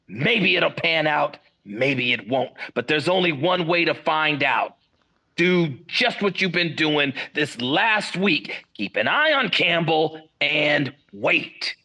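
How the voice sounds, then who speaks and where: An actor playing a calm police captain who advises his detectives in a steady, firm way, in 1940s radio acting style. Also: a little high, takes it slowly, gravelly, exaggerated voice. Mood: advising.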